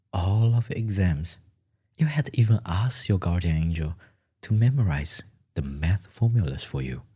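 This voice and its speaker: A man narrating a scripted story in a gentle ASMR storytelling style, with deliberate phrasing and a breathy, husky voice that often drops toward a whisper. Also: sits low. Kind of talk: scripted second-person bedtime storytelling, ASMR.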